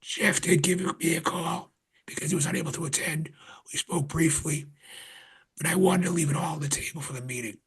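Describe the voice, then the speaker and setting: A man chairing a town board meeting in a conference room, speaking earnestly with restarts, his voice getting raspy. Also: a little high, brisk, fairly bright tone. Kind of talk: public meeting remarks.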